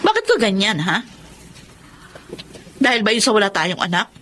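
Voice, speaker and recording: A woman's voice: mid-range voice, huge pitch swings, sharp tone, exaggerated voice. A Filipino actress playing a fed-up fisherman's wife in a radio drama, nagging, confrontational and standing her ground. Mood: angry, reproachful. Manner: nagging, confrontational, rapid-fire questioning.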